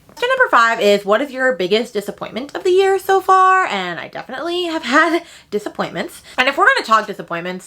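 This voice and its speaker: Bright, gushing young woman book vlogger. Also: mid-range voice. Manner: gushing, slangy, emphatic repetition. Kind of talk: casual enthusiastic chat to camera.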